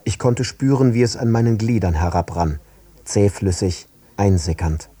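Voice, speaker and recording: A man narrating literary prose for an audio drama in measured, separate short phrases. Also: fairly low pitch, expressive, warm tone, breathy edge, no room sound. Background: prominent music.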